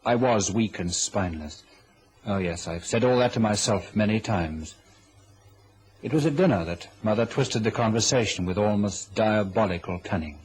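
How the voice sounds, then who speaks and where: A man's voice: on the low side, moderate pace, darkish tone, a little hiss or hum, lightly acted, low-quality recording. An actor playing a young, sensitive son cowed by his mother, earnest and anguished in an old radio drama. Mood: regretful. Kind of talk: old-time radio drama acting and confessional narration.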